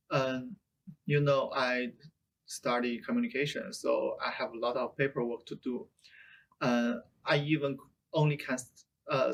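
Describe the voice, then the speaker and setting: A young man answers interview questions, stopping often to find the next word. His voice is mid-pitched, with a little noise in the background. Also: vocal fry, a bit bright, low-quality recording. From China. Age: young adult. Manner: halting, searching for words. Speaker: interviewed student.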